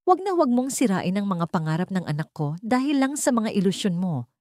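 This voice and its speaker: A woman's voice: medium pitch, very animated, a little fast, dry recording, studio quality, pitched above the performer's own voice, natural voice. A woman voicing a scheming, domineering stepmother in a dramatic audio storybook, with a scolding, insistent manner. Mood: angry, threatening. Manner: scolding, accusatory, sharp-tongued. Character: scheming, domineering stepmother with false sweetness and venom.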